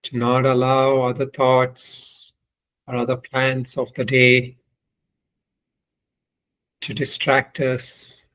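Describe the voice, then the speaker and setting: Male meditation guide. Very slow, measured delivery with little melodic movement and long pauses. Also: mid-range voice, monotone, a bit bright, low-quality recording. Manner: deliberate, measured, with long pauses between short phrases. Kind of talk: guided meditation, spoken instruction.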